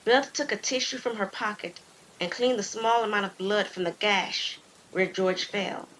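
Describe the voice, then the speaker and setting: A woman's voice: mid-range voice, normal speed, fairly bright tone, slight background noise, low-quality recording. A woman reading scripted story narration as a storyteller.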